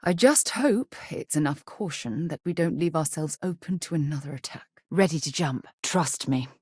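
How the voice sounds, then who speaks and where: A woman playing a principled, earnest space explorer and leader in a video game, a husky voice and articulate, formal delivery. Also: sits low, lively intonation, moderate pace, darkish tone, dry recording. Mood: composed, reflective. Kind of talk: scripted video game companion dialogue.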